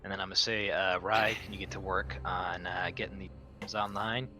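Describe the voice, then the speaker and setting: A man's voice: low voice, level tone, moderate pace, bright, forceful tone. A man playing a tabletop roleplaying game, talking casually and speaking for his character.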